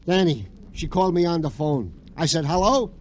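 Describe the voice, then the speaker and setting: An actor playing an excitable, simple-hearted police sergeant in an old radio crime drama, rambling and plaintive. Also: male voice, a little high, moderate pace, lightly acted. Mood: exasperated. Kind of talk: 1940s-50s radio crime drama comic acting. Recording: vintage radio recording with noise.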